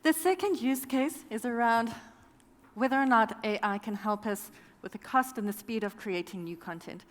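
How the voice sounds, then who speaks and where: A woman explaining a business use case to a conference audience in a measured way. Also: on the high side. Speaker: conference panelist. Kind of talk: conference presentation. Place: large conference hall. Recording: reverberant conference hall.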